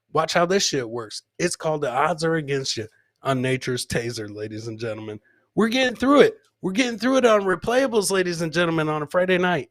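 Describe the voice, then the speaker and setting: A man's voice: fairly high pitch, no echo, clean studio sound. A man hosting a comedy show, casually commenting on clips with crude, mocking humour and repeating his punchlines.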